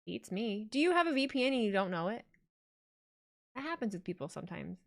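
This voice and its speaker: A woman's voice: medium pitch, big pitch swings, fairly quick, gravelly. A young woman, a streamer, chatting casually and jokingly while she reads her chat aloud during a watch-along.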